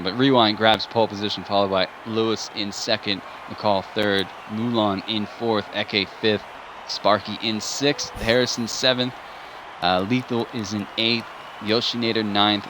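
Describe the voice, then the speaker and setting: A man commentating a live simulated racing event, relaxed and chatty, laughing often as he talks through the grid. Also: lowish voice, moderate pace, background noise. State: saving a tiring voice. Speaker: race commentator.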